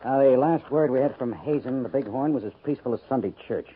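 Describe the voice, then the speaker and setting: An actor playing a brusque, dismissive army major in a 1950s radio drama, speaking in a brisk, curt way. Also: male voice, mid-range voice, natural voice. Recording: tinny lo-fi radio broadcast with faint hiss.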